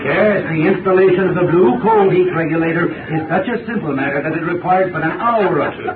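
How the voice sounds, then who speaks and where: An actor playing a courteous heating expert, giving an earnest, formal scripted sales talk on 1930s radio. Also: male voice, high-pitched, fairly quick, close to an everyday voice. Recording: distant, echoey, tinny, noisy 1930s radio recording.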